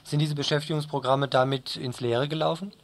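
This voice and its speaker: A man works as a radio interviewer, putting measured, formally worded questions. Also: on the high side, fairly even intonation.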